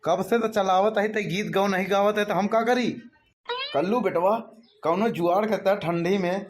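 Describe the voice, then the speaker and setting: A man's voice: high voice, moderate pace, a little hiss or hum, close to an everyday voice. A man voicing a naive, whining village uncle in a comic cartoon, with an Awadhi accent. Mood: complaining.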